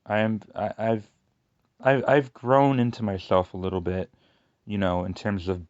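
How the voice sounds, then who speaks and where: A man with a noticeable lateral lisp talks in a low, rambling voice and keeps restarting his sentences. The recording is dry and a bit dull. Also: level tone, a little mellow, no echo. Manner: rambling, halting, many restarts and fillers. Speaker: YouTuber. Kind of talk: casual personal monologue.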